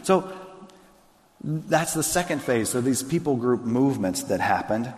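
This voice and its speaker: A man preaching as a pastor, earnest and conversational, often circling back to restart a thought. His pitch sits in a middle range. The sound carries the long reverb of a large hall. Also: expressive, unhurried, a little mellow. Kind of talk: sermon and onstage interview.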